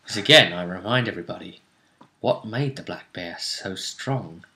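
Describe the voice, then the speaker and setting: Man, game master, casual recap, dramatic emphasis, crisp bright edge, echoey room. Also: low voice, moderate pace. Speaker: game master and vidcast host. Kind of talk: casual recap of a tabletop role-play tournament. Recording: roomy, echoey room sound.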